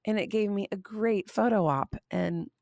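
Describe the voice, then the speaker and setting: A woman in her forties chats on a faith podcast and tells her stories with lively energy. Her voice is a touch scratchy from being under the weather. She sounds close and dry, with no room around her. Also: mid-range voice, moderate pace, gravelly, dry recording. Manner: chatty, warm-hearted, animated storytelling. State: slightly scratchy voice from illness. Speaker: podcast host. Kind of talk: casual faith podcast chat.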